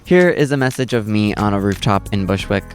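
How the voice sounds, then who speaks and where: A young man vlogging in a soft, chatty, reflective way, talking outdoors in a city, says "like" a lot. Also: on the low side, expressive, brisk, faint music behind. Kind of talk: casual chat and vlog talk to camera.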